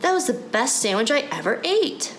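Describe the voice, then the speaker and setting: A woman's voice: fairly high pitch, big pitch swings, moderate pace, slight background noise, voice pushed up. A woman voicing a hungry, impatient schoolboy in a read-aloud storybook, blurting out his words. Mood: satisfied. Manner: blurting, whispering when furtive. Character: hungry impatient schoolboy, grudging then delighted by food.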